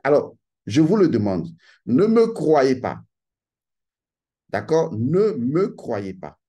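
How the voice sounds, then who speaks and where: A man's voice: medium pitch, big pitch swings, slow pace, warm tone. A man giving an insistent, lecturing monologue, stressing and repeating his key points. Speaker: channel host giving a talk.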